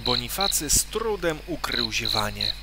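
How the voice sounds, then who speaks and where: A man narrates a children's tale with a very animated, swooping delivery. He leans on words theatrically and pauses for suspense, over loud night noise of crickets and frogs. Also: mid-range voice. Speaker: storyteller. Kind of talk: scripted children's story narration.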